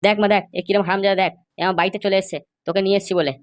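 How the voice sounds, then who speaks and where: Woman voicing a fast-talking angry old grandfather. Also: medium pitch, steady tone, lightly acted. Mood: indignant. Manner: scolding, threatening. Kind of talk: amateur comedy cartoon dub.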